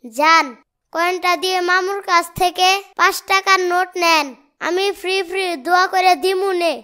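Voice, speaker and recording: Cartoon casting: cheeky, stubborn small boy, Bangladeshi accent, extremely high and sharp voice. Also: level tone, moderate pace. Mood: insistent. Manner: bold, argumentative, insistent.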